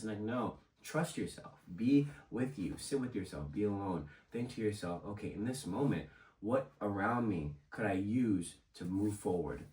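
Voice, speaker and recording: A young man with a slightly raspy voice, hosting a casual motivational vlog in a conversational, encouraging way. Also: lowish voice, a little mellow. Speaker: host of a motivational video series. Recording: echoey room, distant microphone.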